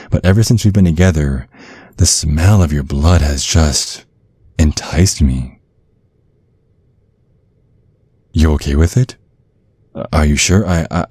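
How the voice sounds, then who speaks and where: Man playing a very deep, husky vampire boyfriend. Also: dark tone, no echo. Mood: hesitant, coaxing. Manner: soothing, coaxing, affectionate. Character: tender, protective male vampire boyfriend comforting a partner. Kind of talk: ASMR roleplay, intimate soft talk.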